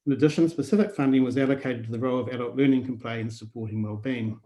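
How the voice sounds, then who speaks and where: New man, mid-pitched, measured presenter on a video call. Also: low-quality recording. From New Zealand. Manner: steady, measured delivery with listed points. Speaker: conference speaker. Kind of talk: prepared conference talk.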